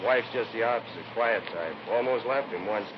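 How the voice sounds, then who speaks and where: A man's voice: on the low side, normal speed, natural voice. An actor playing a plain, talkative but cagey working-man shop owner in a 1950s radio drama, speaking in casual clipped sentences. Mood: cagey, gossipy. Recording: noisy, dull vintage radio recording.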